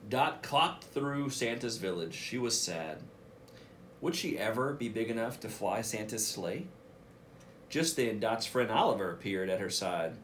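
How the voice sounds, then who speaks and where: A man, a school principal, reading a picture book aloud for children in an expressive way, with casual asides. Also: on the low side, a little slow, a little hiss or hum. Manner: expressive, addresses children directly.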